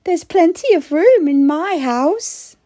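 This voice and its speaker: A woman doing a grumbling little old lady, dramatic storybook character, piercingly high, unhurried, exclamatory. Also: expressive, dark tone, no room sound, studio quality, full character voice. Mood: relieved, delighted. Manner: plaintive, exclamatory. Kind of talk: rhyming picture-book character voice.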